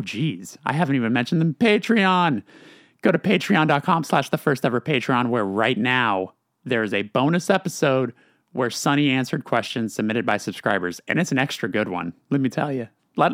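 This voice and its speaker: A man's voice: medium pitch, fairly bright tone, no room sound, studio quality. A man hosting a casual podcast, talking loosely with lots of fillers and restarts. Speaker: podcast host.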